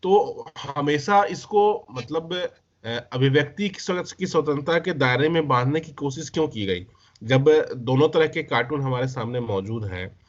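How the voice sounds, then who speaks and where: A man's voice: on the high side, expressive, normal speed. A man interviewing in a conversational way, posing long questions and rewording them hesitantly as he goes. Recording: muffled lo-fi recording.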